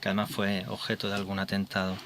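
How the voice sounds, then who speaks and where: A man hosting a local radio interview, asking measured, conversational questions. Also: sits low, completely flat delivery, fairly bright tone, a little hiss or hum. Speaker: radio host.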